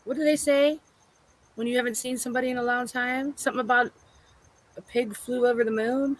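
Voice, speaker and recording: A woman co-hosting a casual livestream chat, playful and quick to greet viewers in the chat by name. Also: fairly high pitch, fairly even intonation. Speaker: co-host.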